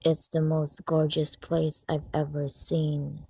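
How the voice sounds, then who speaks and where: A woman playing a stoic, reserved young female pony with deadpan, understated sincerity in an amateur comic dub. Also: sits low, slow, gravelly, reverberant, distant mic, a little hiss or hum, heavily acted. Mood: quiet wonder. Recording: music behind the voice.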